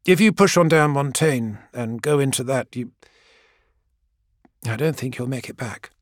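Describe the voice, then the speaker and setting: A man narrating an audiobook voices a weary woman leader who speaks calmly and quietly. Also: medium pitch, unhurried, no echo, studio quality, close to an everyday voice.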